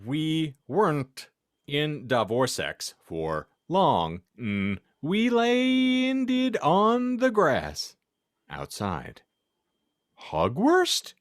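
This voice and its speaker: A man's voice: on the high side, huge pitch swings, very slow, no echo, studio quality. A man hosting a comedy podcast, reading fan fiction aloud theatrically with jokey, sweary banter. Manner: jokey, sweary, theatrical delivery of misspelled text. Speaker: podcast host.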